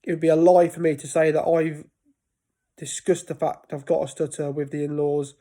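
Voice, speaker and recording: A man's voice: a little high, little pitch movement, moderate pace, mellow. A man in his thirties talking casually to camera about life with a stutter, often breaking off and restarting words. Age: 30s. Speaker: vlogger.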